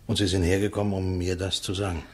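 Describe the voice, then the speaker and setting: An actor playing a resigned condemned prisoner in a German radio drama, speaking in a halting, subdued, very husky voice. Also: male voice, low-pitched, level tone. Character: resigned condemned prisoner protesting his innocence, grateful.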